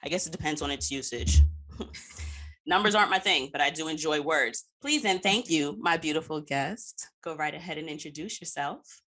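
Low, bright-voiced woman podcast host. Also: normal speed. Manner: slangy, freely swearing, playful. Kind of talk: casual chat.

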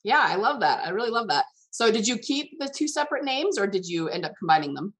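A woman's voice: mid-range voice, sing-song, fast talker, a bit bright, breathy edge. A woman hosting a writing podcast, speaking as a host and coach to her audience and guests. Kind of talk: scripted introduction, then casual interview.

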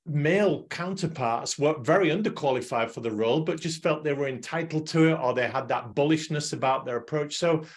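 A man's voice: on the high side, fairly quick, few pauses. A man hosting a panel discussion, framing topics and putting direct questions to the guests. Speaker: host, moderator. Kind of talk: moderating a panel.

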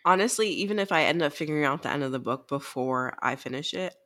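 A woman's voice: low-pitched, lively intonation, quick pace, a bit bright. Female co-host for a casual book podcast. Opinionated, very informal, unafraid to swear. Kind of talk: casual chat about books.

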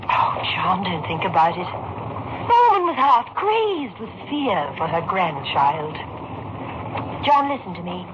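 A woman's voice: fairly low pitch, very animated, slow pace. An actress playing an anxious, pleading woman with urgent, melodramatic delivery in an old-time radio horror play. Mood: coaxing. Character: anxious, pleading female companion of a troubled surgeon. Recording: muffled, noisy old radio recording.

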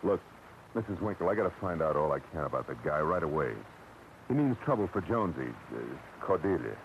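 A man's voice: sits low, sing-song, a little fast. An actor playing a hard-boiled, world-weary American private eye in a 1940s radio drama, with a clipped tough-guy delivery. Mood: probing. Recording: muffled, noisy old radio recording.

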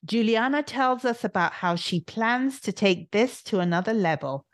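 A woman's voice: medium pitch, expressive, normal speed. A woman hosting a podcast, asking encouraging questions and prompting her guest again and again. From England. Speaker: podcast host. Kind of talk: casual podcast interview.

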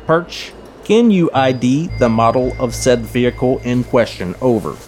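A man's voice: mid-range voice, expressive, measured pace, lightly acted. A man acting in an audio drama as an eager, chummy metal detector club leader who talks in mock-official radio jargon. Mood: official, brisk. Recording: clear background noise.

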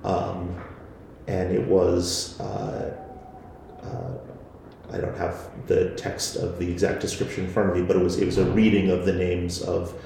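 A man's voice: low voice, animated, unhurried, gravelly, warm tone, slight background noise. A man being interviewed about his podcast, talking hesitantly with many fillers and restarts. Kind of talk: casual interview answers. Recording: very echoey room.

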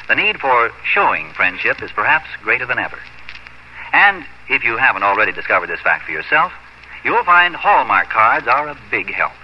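An American man reading a scripted sponsor message as a 1950s radio announcer. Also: medium pitch. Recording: dull vintage radio recording, faint noise.

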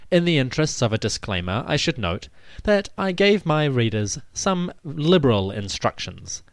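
A man hosting a history podcast, reading scripted narration in a measured way with theatrical emphasis. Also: on the low side, dry recording.

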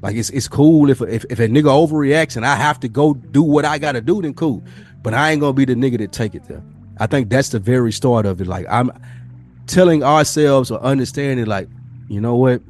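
A man speaks casually as a podcast speaker over music, at a middling pitch. He is an animated storyteller who slips into imagined dialogue and swears freely. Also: fairly quick.

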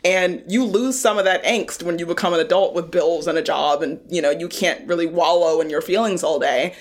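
A woman in her twenties, a music journalist guest talking in a casual podcast discussion, animated and quick with laughing asides. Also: fairly low pitch. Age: 20s.

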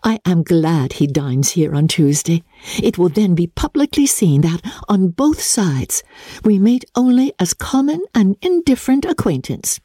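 A woman voicing a gentle, earnest and composed young gentlewoman in a dramatic audiobook reading. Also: lowish voice, very animated, a little slow, no room sound, studio quality, pitched above the performer's own voice. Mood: cheerful assurance.

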